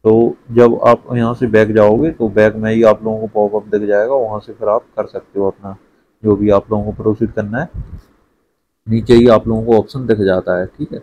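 A man's voice: lowish voice, level tone, moderate pace, darkish tone, dull audio. A young man giving a casual screen-share walkthrough as an education YouTuber, chatty and often adding "okay" to check in. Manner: chatty, repeats "theek hai" often.

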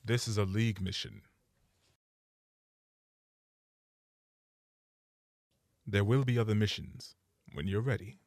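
A man playing a stern, commanding superhero mentor in a cartoon fandub, gruff and husky, with formal authority. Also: lowish voice, fairly even intonation, fairly quick, a little mellow, dry recording, clean studio sound, natural voice.

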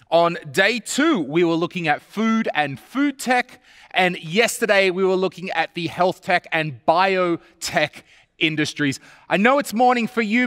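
Aussie guy hosting a live stage event, bit of a higher voice, close dry mic but big hall tail. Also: fairly bright tone, clean tone. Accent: Australian. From Australia. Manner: upbeat, gestures while speaking. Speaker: event host. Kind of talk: presenting a live virtual trade event. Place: large stage studio with hall reverb.

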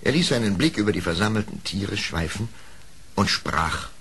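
A man narrating a scripted fairy tale in an expressive, measured storytelling manner. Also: on the low side, a little hiss or hum.

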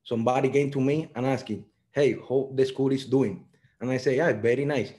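Young Cuban-accented man, mellow tone, earnest non-native English over a video call. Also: mid-range voice, fairly even intonation, moderate pace. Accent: Cuban Spanish. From Cuba. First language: Spanish. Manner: earnest storytelling in simple learner English. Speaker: software engineer guest. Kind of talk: online panel talk.

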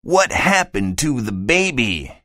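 Grinsekatze, ein Mann spielt eine gelassene rätselhafte Katze. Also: male voice, mid-range voice, sing-song, bright, forceful tone, no room sound, studio quality, voice pushed up, full character voice. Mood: knowing. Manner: slow, drawn-out and knowing. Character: calm, riddling grinning Cheshire Cat.

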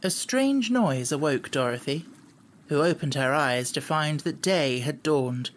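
A man's voice: fairly high pitch, expressive, unhurried. A man reading scripted storybook narration as an audiobook narrator.

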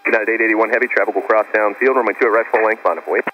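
Male tower controller, extremely fast, dull radio with faint noise. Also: lowish voice, steady tone. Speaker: tower air traffic controller. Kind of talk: radio instructions.

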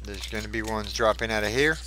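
A man's voice: fairly low pitch, a little fast, background noise. A man giving casual commentary as he plays a shooter game, chatting and muttering to himself through fights. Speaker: gaming channel host.

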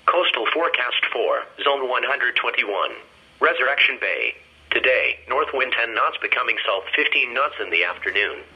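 A computer-made male voice reads the weather with a flat, clipped, steady delivery. The sound is dull and muffled, coming from a small radio speaker in a kitchen, with a slightly breathy, husky edge to the voice. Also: mid-range voice, moderate pace. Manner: flat, clipped, evenly paced reading of figures. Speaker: weather broadcast voice. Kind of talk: automated weather forecast reading. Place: played through a small radio on a kitchen counter.

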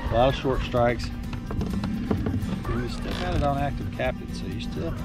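A middle-aged man, a fishing guide, talking casually and enthusiastically to camera while fishing from a boat on a lake, recorded on an action camera. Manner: enthusiastic, chatty salesman pitch with fillers. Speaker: fishing guide and channel host. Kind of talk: outdoor fishing vlog, product talk.

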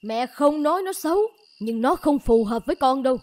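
A woman's voice: high-pitched, expressive, normal speed, a little mellow. A woman voicing a stern, worried middle-aged village mother in a cartoon, scolding and lamenting. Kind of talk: cartoon voice acting.

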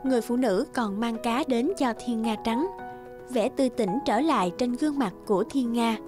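High-voiced woman narrating a fairy tale. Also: moderate pace. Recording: music under the voice.

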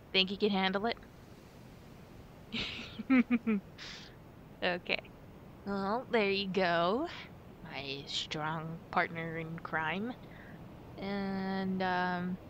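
A young woman playing a playful, affectionate girlfriend in a chatty ASMR roleplay, with gentle hesitant pauses. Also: muffled recording. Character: playful, affectionate girlfriend on a pumpkin-picking outing. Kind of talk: ASMR girlfriend roleplay, casual one-sided chat. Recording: rumbly background noise.

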